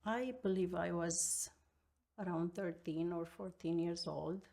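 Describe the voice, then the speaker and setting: A woman in her fifties from Romania with a Romanian accent, telling her life story as an interview guest in a halting, reflective way with many pauses. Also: fairly low pitch, steady tone, creaky, dark tone.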